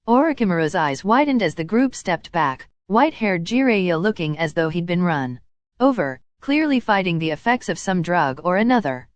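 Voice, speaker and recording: A woman with a slightly low voice reads scripted fiction as a narrator. The recording is dry and close, with very little room sound. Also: no room sound. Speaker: audiobook reader of fan fiction.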